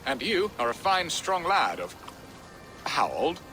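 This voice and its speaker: A man's voice: on the high side, sing-song, unhurried, sharp tone, soft background music, exaggerated voice. A man playing a sentimental, genial middle-aged nobleman in a period game, speaking in a courtly, effusive way. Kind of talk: dramatic period game acting.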